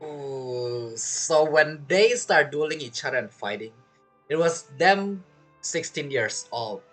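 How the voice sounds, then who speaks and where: A woman's voice: low-pitched, lively intonation, takes it slowly, faint music behind. A woman giving casual game commentary as a streamer, chatty and reacting aloud in loose fragments.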